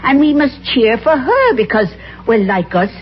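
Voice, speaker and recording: An actress playing a patiently explaining friend in a 1950s radio sitcom. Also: female voice, a little high, big pitch swings, normal speed. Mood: coaxing. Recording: muffled 1950s radio with faint noise.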